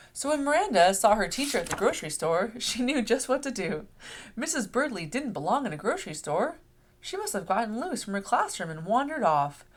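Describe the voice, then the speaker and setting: A woman reads a picture book aloud for young children. Her delivery is expressive and animated, with pitch that moves up and down freely as she tells the story. There is faint noise behind her voice. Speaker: read-aloud host. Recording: clear background noise.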